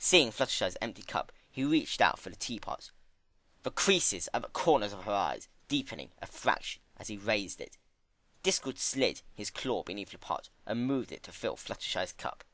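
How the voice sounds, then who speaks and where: A man's voice: fairly low pitch, big pitch swings, moderate pace, fairly bright tone, no echo. A man narrating a story as a dramatic scripted reading.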